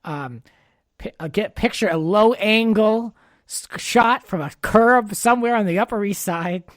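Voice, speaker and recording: A man's voice: sits high, unhurried, dry recording, studio quality. A man hosting a podcast, chatting casually about pop culture in an opinionated, talkative way. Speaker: podcast host.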